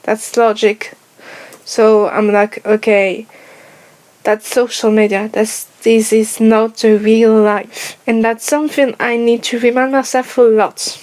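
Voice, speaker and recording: A woman's voice: fairly high pitch, little pitch movement, slow pace, darkish tone. A young French woman speaking English as a second language, with a French accent, talking carefully and searching for words in a casual personal vlog. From France. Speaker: vlogger. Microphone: headphones on, speaking to camera.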